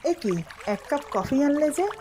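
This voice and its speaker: Devoted young husband read by a female narrator, romantic audio story, affectionate, coaxing, high register, light water noise behind. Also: lively intonation, measured pace, dark tone. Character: devoted, teasing young husband in love with his wife.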